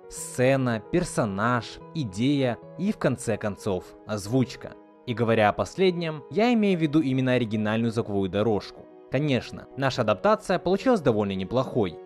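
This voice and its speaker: A man hosting a video series, reading a scripted video essay. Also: mid-range voice. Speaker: host of a video series on film dubbing.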